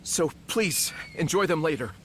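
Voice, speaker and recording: A man's voice: a little high, lively intonation, normal speed, a bit bright, slight background noise. An actor playing a humble, grateful village father in a video game, speaking deferentially and effusively. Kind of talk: dramatic video game acting.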